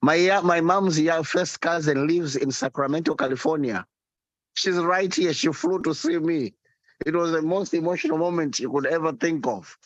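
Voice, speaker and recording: A man's voice: a little high, lively intonation, moderate pace, no room sound, muffled recording. A man telling personal stories as a guest, emphatically and with rhetorical questions. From Southern Africa. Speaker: podcast guest.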